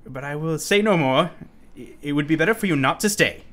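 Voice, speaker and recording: An unhinged asylum patient, formal wording, voice swings up and down, kinda sharp, radio play. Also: male voice, on the high side, expressive, a little fast. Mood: brooding. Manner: formal, urgent, given to sudden chuckles. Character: unsettled, pleading, well-spoken asylum inmate, man.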